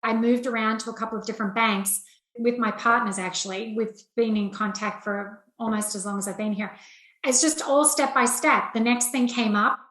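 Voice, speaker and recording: A woman's voice: fairly high pitch, fairly even intonation, moderate pace, a bit bright. A middle-aged woman with an Australian accent, speaking candidly and plainly as a business executive being interviewed. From rural Australia. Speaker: interview guest, investment banking executive. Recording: roomy, echoey sound.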